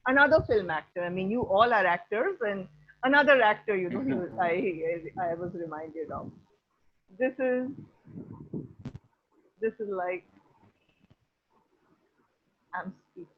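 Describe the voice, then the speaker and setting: A woman's voice: mid-range voice, animated, normal speed, long pauses. A woman with an Indian accent teaching an online class, giving effusive feedback and often repeating her phrases. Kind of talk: enthusiastic spoken feedback to a class. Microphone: video call. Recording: dull lo-fi video call audio.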